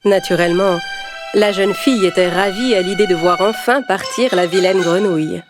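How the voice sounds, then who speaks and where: A woman's voice: lowish voice, normal speed. A woman narrating a scripted fairy tale for children. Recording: music under the voice.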